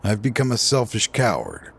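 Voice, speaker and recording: An actor playing a weary, regretful older man in a video game, husky-voiced, speaking in slow, sighing confession. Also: lowish voice, wildly swooping pitch, fairly bright tone, heavily acted. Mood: weary, remorseful. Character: weary, regretful older man, grieving father turned self-confessed coward. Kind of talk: dramatic video game voice acting.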